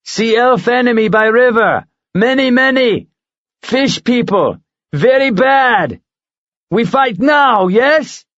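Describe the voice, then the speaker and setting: Giant eagle, battle-hungry, talks in clipped broken phrases; a male reader puts him on, pitched up, muffled lo-fi audio. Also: lively intonation, slow pace, a bit bright, no echo, exaggerated voice. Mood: gruff eager. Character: giant male eagle, blunt, eager to fight. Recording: dull lo-fi recording.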